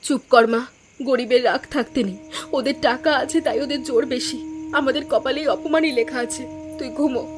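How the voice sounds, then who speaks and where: A woman's voice: sits high, normal speed, over music, close to an everyday voice. A woman voicing a caring, anxious village mother with a pleading, cautious way of talking in a Bengali cartoon. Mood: worried, resigned.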